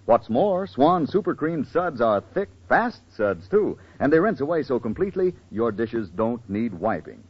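An American male announcer gives a 1940s radio sales pitch. His husky voice moves up and down with persuasive emphasis. The vintage broadcast sounds dull and muffled. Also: sing-song, normal speed, few pauses. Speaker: commercial announcer.

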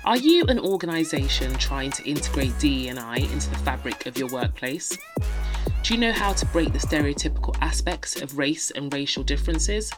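A woman hosting a podcast, reading a polished, measured introduction of her guest. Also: sits low. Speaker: podcast host. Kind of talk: scripted show introduction. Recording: music bed under the voice.

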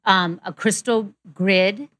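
A woman's voice: medium pitch, fairly even intonation, takes it slowly, fairly bright tone, no room sound. A woman guest on a podcast, a brand co-founder, who rambles and trails off with fillers. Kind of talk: casual podcast chat.

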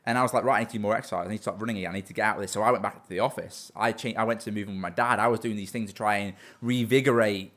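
A man's voice: fairly low pitch, fairly even intonation, very fast, few pauses, a bit bright. A young man in his twenties, a business owner talking earnestly in a casual interview, often restarting and repeating words.

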